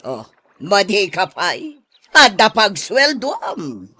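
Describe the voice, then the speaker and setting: Forceful, bossy madam, swooping delivery. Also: female voice, mid-range voice, big pitch swings, slow pace, slightly rough, no echo, exaggerated voice. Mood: bossy, brash. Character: bossy, scheming, moneyed madam planning a swimming pool business. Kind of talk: radio drama acting.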